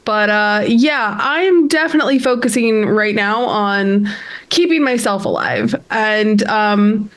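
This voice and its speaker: A woman acts out a callous young woman survivor in a tabletop game. Her mid-pitched voice is casual and slangy, with a flippant, self-centred bluntness. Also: lively intonation, slow. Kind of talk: improvised in-character roleplay.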